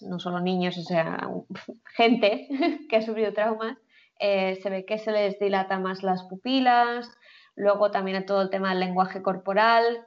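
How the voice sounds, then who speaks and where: Brief: woman co-host, chatty, frequent laughs, dull lo-fi recording. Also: medium pitch. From Philippines. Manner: chatty, laughs often, many fillers. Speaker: podcast co-host. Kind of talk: casual chat.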